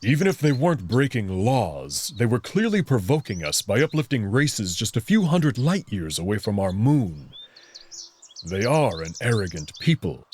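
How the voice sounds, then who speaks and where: A man voicing a proud, confident young alien wolf prince in a visual novel, friendly but full of hubris, speaking with expressive self-assurance. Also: on the low side, normal speed, slight background noise. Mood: proud, dismissive.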